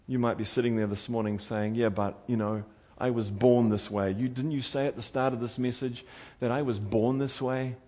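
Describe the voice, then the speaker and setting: A New Zealand man delivers a sermon with an earnest, pleading manner. His voice sits at an ordinary middle pitch for a man. He swings from loud, urgent passages to hushed, intense appeals. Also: fairly even intonation, normal speed. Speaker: preacher.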